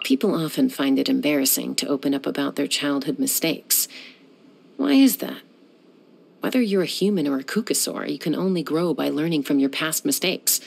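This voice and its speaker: A cocky, husky young gunslinger. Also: female voice, low voice. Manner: cool, self-assured, dryly teasing. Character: confident young woman peacemaker gunslinger who flies. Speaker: game character. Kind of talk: video game voice lines.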